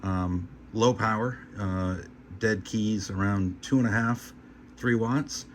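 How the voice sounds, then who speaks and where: Low-voiced man, casual and halting, noisy recording. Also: steady tone. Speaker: hobbyist presenter of a radio repair video. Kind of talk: casual unscripted hands-on demonstration. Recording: noticeable background hum and noise.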